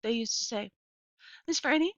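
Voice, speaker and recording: Lady reading as old folksy librarian woman, storybook, swingy forceful delivery, slightly muffled lo-fi recording. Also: a little high, lively intonation, moderate pace, dry recording, voice pushed up. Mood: wistful. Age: older. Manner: folksy, emphatic, repeats herself. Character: elderly chatty small-town woman librarian, wistful and dramatic storyteller. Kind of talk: children's storybook read aloud.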